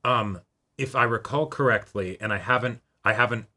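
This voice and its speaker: Bright-voiced man in loose, circling chat. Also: medium pitch, moderate pace. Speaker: co-host of an anime reaction show. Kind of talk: casual chat about an anime episode.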